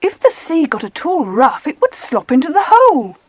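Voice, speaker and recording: High-voiced, chatty schoolgirl. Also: huge pitch swings, moderate pace, a little mellow, no echo. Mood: interested. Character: lively, observant schoolgirl. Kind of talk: dramatised children's audiobook acting.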